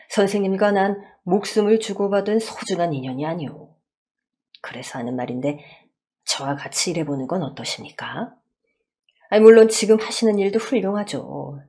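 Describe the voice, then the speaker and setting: A woman's voice: deep voice, animated, moderate pace, warm tone. A woman voicing a grateful, courteous elderly male company boss in a radio story, formal and gracious. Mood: courteous, earnest.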